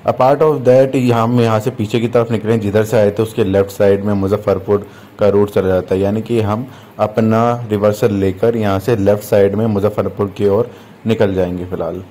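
Low mellow man explaining a train route. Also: steady tone, normal speed, a little hiss or hum. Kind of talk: narrated train commentary.

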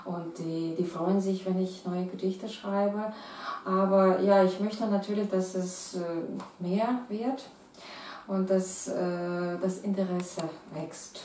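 A woman's voice: mid-range voice, fairly even intonation, slow pace, mellow, slight background noise. Russian-accented woman, echoey room, distant mic. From Kazakhstan. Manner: hesitant, many fillers and restarts. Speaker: poet. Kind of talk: interview answers. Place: living room with piano, indoors. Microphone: far camera.

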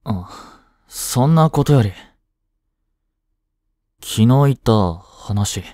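A man's voice: medium pitch, animated, extremely slow, mellow, dry recording, studio quality. A young man playing a lovestruck, jealous schoolboy in a romantic voice drama, speaking casually with hesitations and sighs. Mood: tired, nervous. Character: lovestruck, jealous teenage schoolboy childhood friend. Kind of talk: Japanese romantic voice drama acting.

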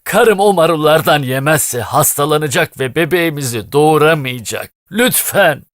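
A man's voice: fairly high pitch, expressive, moderate pace, a bit bright, slight background noise. A man voicing a frightened husband in a cartoon, pleading and apologetic. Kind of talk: cartoon voice acting.

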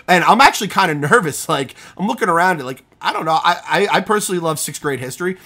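Middle-aged co-host, bright fairly high voice, jokey and foul-mouthed, video call. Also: male voice, lively intonation, quick pace. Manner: joking, heavy swearing. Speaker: podcast co-host. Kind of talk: casual podcast chat.

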